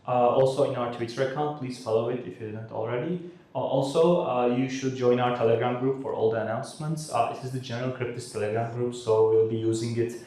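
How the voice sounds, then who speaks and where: A man's voice: mid-range voice, steady tone, brisk, warm tone. A young man in his twenties with a Turkish accent giving an earnest welcome speech, with frequent uhs. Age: 20s. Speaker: conference co-host. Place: conference hall. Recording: very echoey hall.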